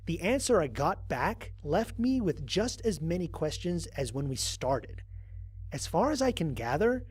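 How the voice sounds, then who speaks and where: A man's voice: on the high side, a little mellow, husky, no echo, heavily acted. A man playing a wry, analytical stranded space explorer narrating his mission log in an audio drama in a matter-of-fact way.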